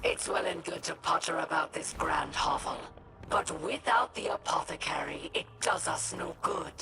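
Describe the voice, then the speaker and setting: A woman voicing a proud, scornful alien rogue in a video game, breathy and rasping, with archly formal speech. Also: low voice, animated, heavy background noise. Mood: haughty. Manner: haughty, deliberate, archly formal. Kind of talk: video game character acting. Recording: voice under loud game music.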